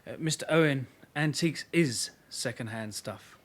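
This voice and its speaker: An actor playing a young, polite and dryly persistent detective constable in a radio drama. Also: male voice, medium pitch, moderate pace, natural voice. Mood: probing, polite. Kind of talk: British radio drama acting.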